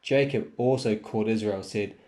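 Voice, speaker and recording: A man narrates a Bible story from a script. His voice is a little on the low side, and he reads carefully, now and then tripping on a word. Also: normal speed, darkish tone.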